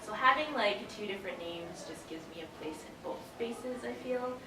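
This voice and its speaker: A woman's voice: mid-range voice, animated, normal speed. Echoey, distant young woman. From Canada. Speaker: interviewee. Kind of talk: casual interview answers. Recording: echoey, distant microphone, noisy room.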